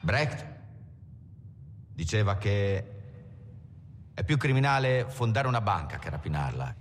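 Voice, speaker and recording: Cool male bank robber, very low voice, sententious, echoing hall, rumbly noise. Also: sing-song, unhurried. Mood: cool conviction. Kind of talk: dramatic monologue.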